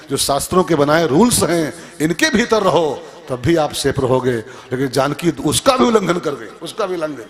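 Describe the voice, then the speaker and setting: High-pitched male preacher. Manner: emphatic, repeats phrases, asks rhetorical questions. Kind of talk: musical scripture discourse with moral teaching.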